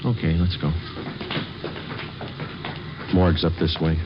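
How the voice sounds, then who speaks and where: A very low-voiced police detective, clipped, flat and relentless. It is an old radio crime drama, muffled and clearly noisy. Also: male voice, slow pace. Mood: businesslike, insistent. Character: terse, relentless police detective sergeant questioning a suspect. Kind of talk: 1950s radio acting, clipped and understated.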